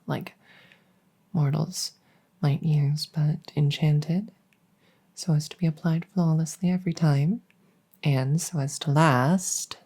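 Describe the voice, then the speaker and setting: A woman playing a devoted, centuries-old vampire maid in a gentle ASMR roleplay, speaking softly and formally in a breathy near-whisper. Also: deep voice, full character voice. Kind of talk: soft-spoken roleplay comfort ASMR.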